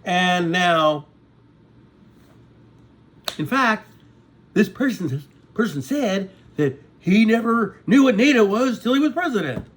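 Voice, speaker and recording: A man's voice: sits high, big pitch swings, takes it slowly, long pauses. A man in his sixties talking to his viewers in a rambling casual monologue, often stopping to ask "okay?". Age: 60s. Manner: conversational, repeats "okay?" to check in with viewers. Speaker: vlogger.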